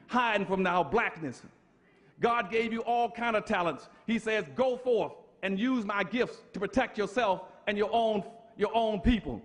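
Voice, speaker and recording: High-voiced man lecturing with fiery emphasis. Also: fairly bright tone. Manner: emphatic, preacher-like, full of rhetorical questions. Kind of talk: impassioned public lecture.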